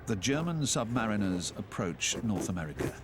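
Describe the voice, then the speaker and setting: Low-voiced male documentary narrator. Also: sing-song, very noisy. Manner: measured, solemn storytelling delivery. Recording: narration under loud music score.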